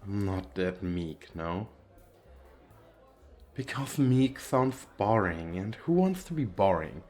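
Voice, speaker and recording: A man's voice: on the low side, big pitch swings, slow pace, darkish tone, faint music behind. A man playing a nervous, bashful, well-off young businessman on a date in an ASMR roleplay, with hesitant, stammering speech. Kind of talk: ASMR roleplay, one-sided conversation with the listener.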